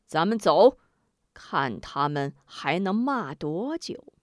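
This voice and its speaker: A woman's voice: medium pitch, very animated, drawn-out words, dry recording, studio quality. A woman voicing a scheming older male official who gloats and laughs sneeringly. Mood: mocking.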